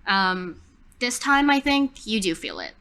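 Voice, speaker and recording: A woman's voice: mid-range voice, big pitch swings, a little slow, sharp tone, clear, resonant tone. A woman playing a tabletop role-playing game, improvising the story in a casual, conversational way.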